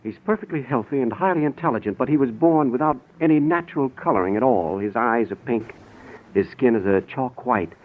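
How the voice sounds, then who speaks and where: A man's voice: mid-range voice, fairly quick, natural voice. An actor playing an earnest, frantic middle-aged man pleading to be believed, in dramatic 1940s radio style. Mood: earnest, brooding. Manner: urgent, dramatic, theatrical. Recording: scratchy narrow-band 1940s radio recording.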